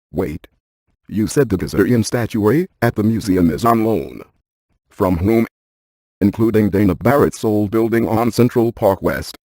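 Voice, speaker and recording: A methodical male scientist for a paranormal video game. His voice is fairly low and notably husky. He speaks in a precise, technical, deadpan way, like someone who takes every measurement seriously. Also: no echo. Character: cerebral, methodical paranormal scientist, adult man. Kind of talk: scripted video game dialogue.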